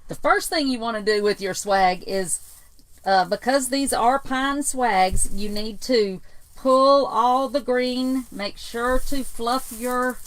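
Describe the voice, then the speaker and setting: A woman co-hosting a casual livestream craft demo, walking viewers through steps in a relaxed, agreeable way. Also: a little high, takes it slowly, no echo, rough recording. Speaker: co-host, home decor designer, mother.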